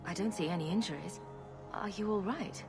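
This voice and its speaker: A woman's voice: medium pitch, brisk, over music, natural voice. A woman playing a bold, sardonic and righteous adventurer in a fantasy video game, dry and confident. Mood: concerned. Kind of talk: dramatic video game acting.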